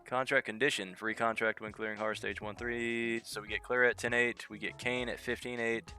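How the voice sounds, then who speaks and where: A man's voice: mid-range voice, flat delivery, a little fast, sharp tone. A young man with a head cold giving casual commentary on a mobile game, thinking aloud as he reads out skill text. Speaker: gaming channel host. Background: game music.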